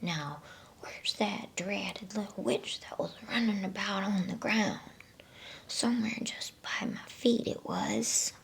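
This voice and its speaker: A sleepy, good-natured giant from a storybook, puzzled and old-fashioned in speech, acted out by a woman. The words come slowly in a soft whisper. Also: mid-range voice, gravelly. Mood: groggy, puzzled.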